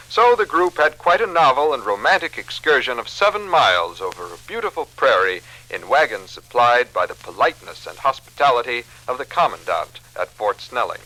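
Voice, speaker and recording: A man's voice: mid-range voice, bright, forceful tone, rough recording. A man formally reading a news report in 1950s radio style, on a vintage recording. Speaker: radio reader.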